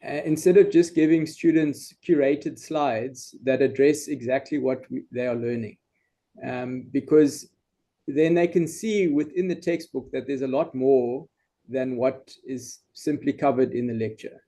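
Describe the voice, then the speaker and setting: A male lecturer explains his teaching approach in a warm, mellow voice at an ordinary pitch. He speaks in a measured way, pausing on "um" as he builds each point. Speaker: university lecturer, panelist. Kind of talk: online panel discussion.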